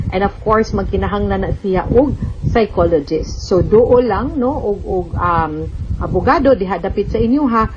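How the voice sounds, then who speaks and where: A dull radio recording with clear noise carries a woman's voice with a Cebuano Filipino accent. She hosts an advice program as a lawyer. She explains legal points to listeners, dropping in English terms. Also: mid-range voice.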